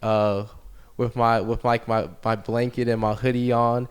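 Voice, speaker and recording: A young man with a smooth, resonant voice pitched right in the middle, speaking as a podcast guest. He tells stories from his childhood in a loose, relaxed way, full of fillers and restarts. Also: unhurried. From United States. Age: young adult. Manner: relaxed, rambling storytelling with fillers. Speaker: baseball player guest. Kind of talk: casual interview.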